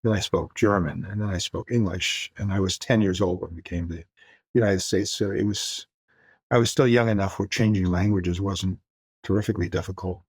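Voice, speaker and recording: A man's voice: low voice, fairly even intonation, a little fast, slightly rough, no room sound. An older man from the Far East, first language Mandarin, talking reflectively as a guest author in a podcast interview, searching for words with light laughs.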